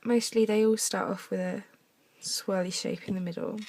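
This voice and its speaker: A young woman with a croaky voice from a cough, chatting through a henna craft demonstration at her desk at home. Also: medium pitch, fairly even intonation, normal speed. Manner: chatty, talks while drawing, drops to soft mutters. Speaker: craft tutorial maker.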